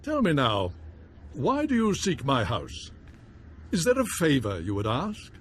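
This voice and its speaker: A video game baron, lord of a great house. His delivery is courtly and gracious, with animated rises and falls at a middle pitch. Faint noise lies behind the voice. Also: measured pace. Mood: gracious, formal. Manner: formal, courtly phrasing.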